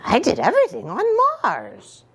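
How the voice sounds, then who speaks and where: A woman voicing an excitable, boastful little boy kitten from a storybook. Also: on the high side, normal speed, vocal fry, slightly rough, exaggerated voice. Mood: defiant, proud. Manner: excitable, sing-song, dramatic.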